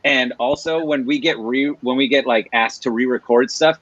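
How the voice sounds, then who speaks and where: A man's voice: mid-range voice, moderate pace, a bit bright, no echo, muffled recording. A man guest on a podcast speaking eagerly, often restarting his sentences. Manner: eager, stumbling starts. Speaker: voice actor guest. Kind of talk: casual podcast chat.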